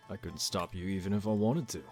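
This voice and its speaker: A man's voice: deep voice, a little mellow, natural voice. A man acting a shrewd, fatherly old tavern bartender in a fantasy audio drama, wry and knowing. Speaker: voice actor in an audio roleplay.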